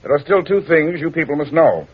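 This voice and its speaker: Husky, fussy old lawyer. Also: male voice, a little high, expressive, fairly quick. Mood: formal. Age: older. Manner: dithering, hesitant, pleased with himself. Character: flustered, fussy old male attorney. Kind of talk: 1940s radio drama acting. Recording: muffled vintage radio recording.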